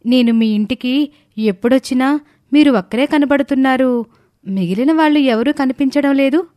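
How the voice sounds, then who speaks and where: A woman's voice: sits high, moderate pace, mellow, no echo, clean studio sound, voice pushed up, natural voice. A woman voicing an eager, respectful young female student in a story, earnest and polite. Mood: curious, shocked.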